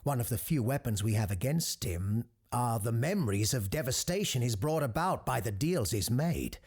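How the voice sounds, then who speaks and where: An actor playing a learned, cautious doctor who hosts a radio show in an audio drama, husky-voiced, hesitant and earnest. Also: male voice, mid-range voice, expressive, moderate pace, darkish tone. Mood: alarmed, earnest.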